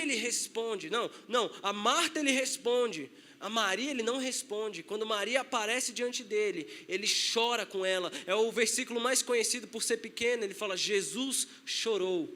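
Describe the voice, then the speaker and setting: High, bright-voiced Brazilian preacher. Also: male voice. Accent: Brazilian Portuguese. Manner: conversational preaching with acted-out quotes. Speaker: pastor. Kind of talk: sermon.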